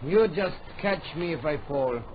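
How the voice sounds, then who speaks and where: An actor in a radio drama playing a proud, grumbling mountain guide with a French accent. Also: male voice, on the high side, lively intonation, a little slow. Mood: grumbling, wry. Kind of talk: old radio drama acting. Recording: muffled old radio recording, noisy background.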